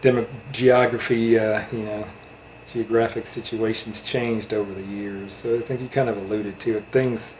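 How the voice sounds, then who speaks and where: A man's voice: fairly low pitch, flat delivery, normal speed, darkish tone. A middle-aged American man interviewing someone in a casual, reminiscing conversation. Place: indoor room at a home. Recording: roomy echo, faint background noise.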